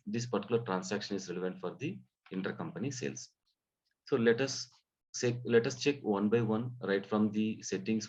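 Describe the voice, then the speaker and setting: Low-voiced man teaching, dull audio. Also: darkish tone. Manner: halting, repeats and rephrases phrases. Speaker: software trainer. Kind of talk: live training lesson, explanatory.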